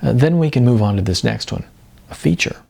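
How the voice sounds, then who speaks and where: A nervous young woman filmmaker in an audiobook story, voiced by the narrator. She is earnest and cerebral, starting haltingly in a husky, creaky voice. Her manner is shy and fidgety. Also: mid-range voice, lively intonation, warm tone. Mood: awkward.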